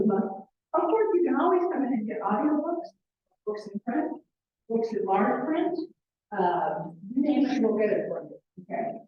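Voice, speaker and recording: A woman's voice: mid-range voice, heavy reverb, distant mic, phone-quality sound, a little hiss or hum. A woman library director chatting through announcements, rambling with lots of fillers. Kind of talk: casual announcements and introduction. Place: library meeting room.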